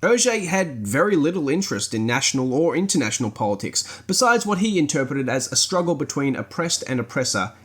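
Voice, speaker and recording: Man narrating with lively, swooping intonation. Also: a little high, brisk, few pauses. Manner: formal, literary, articulate phrasing. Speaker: podcast host and reviewer. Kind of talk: scripted narration, essay-like review.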